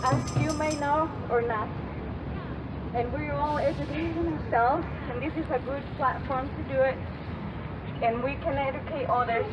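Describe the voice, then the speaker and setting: Woman, high voice, earnest rally speech, street noise loud behind. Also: normal speed. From El Salvador. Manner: earnest, plain phrasing. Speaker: rally speaker. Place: outdoor city street rally. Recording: muffled outdoor recording with traffic noise.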